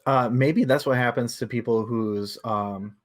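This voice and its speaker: A man's voice: mid-range voice, measured pace. A man in a casual livestream book discussion, explaining things analytically and feeling his way through his thoughts.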